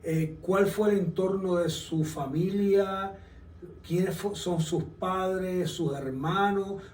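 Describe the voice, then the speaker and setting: High-voiced male show host, roomy. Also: slow, darkish tone. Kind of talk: interview hosting. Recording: roomy, distant mic.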